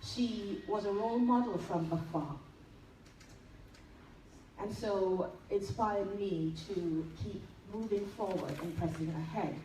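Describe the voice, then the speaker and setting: A woman's voice: medium pitch, slow pace. A woman with a Trinidadian accent, a nurse giving an enthusiastic tribute speech at an event. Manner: warm, enthusiastic and admiring. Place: large event hall. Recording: echoey hall, distant, noisy.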